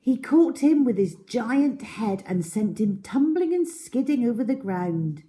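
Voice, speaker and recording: A woman reading a children's story aloud in a dramatic, deliberate, expressive way. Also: a little high.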